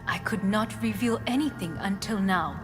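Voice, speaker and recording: Casting: woman playing guarded heiress in a game drama, mid-pitched, formal and restrained, clear music underneath. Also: moderate pace, lightly acted. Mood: solemn. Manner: formal, precise, restrained, avoids contractions. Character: composed, guarded, dutiful female corporate heiress. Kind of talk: dramatic video game dialogue. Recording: music behind the voice.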